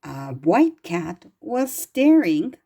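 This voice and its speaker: A woman narrates a children's storybook at a slow, careful pace. Her voice is soft-edged and mellow, with plenty of rise and fall in pitch. She reads expressively and gently, as if to small children. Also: very animated, gravelly. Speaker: storybook reader.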